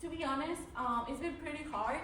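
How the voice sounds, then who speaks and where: High-voiced young woman, Mexican accent. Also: brisk, bright, forceful tone, a little hiss or hum. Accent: Mexican Spanish. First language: Spanish. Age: young adult. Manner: hesitant, many fillers and restarts. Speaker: student officer candidate. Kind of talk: candidate answering panel questions. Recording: echoey room, from far off.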